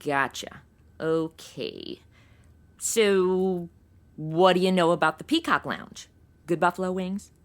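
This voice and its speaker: A woman's voice: fairly low pitch, takes it slowly, pauses often. An actress playing a breezy, scatterbrained young modern woman in a comedy audio drama, flippant and full of slang. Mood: glib, curious. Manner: flippant, slangy Valley-girl phrasing with "like" and OMG. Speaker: lead character and narrator.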